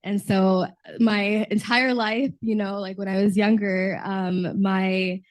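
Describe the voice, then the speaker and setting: A woman's voice: mid-range voice, flat delivery, takes it slowly. A woman in her twenties from Texas, a junior diplomat on a panel, sounding slightly sick from a cold and telling her story earnestly with frequent fillers. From Texas, United States. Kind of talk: panel discussion.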